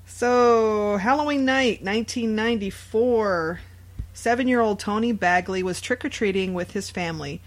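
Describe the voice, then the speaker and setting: A woman with a voice of medium pitch co-hosts a true crime podcast. She recounts cases in a casual, conversational style and comments on them with dry sarcasm. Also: normal speed. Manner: sarcastic asides. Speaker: podcast co-host. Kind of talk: casual true crime chat, reading out stories.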